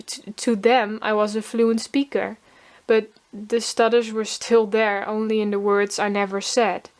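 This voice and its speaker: A teenage girl narrating her own story, stuttering often on first sounds of words. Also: a little high. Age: teens. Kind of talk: pre-recorded personal narration.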